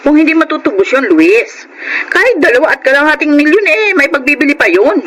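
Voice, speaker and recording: Shrill, grasping Filipino elder sister. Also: female voice, sing-song, fairly quick, a bit bright, a little hiss or hum. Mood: coaxing. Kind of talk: Filipino radio drama acting.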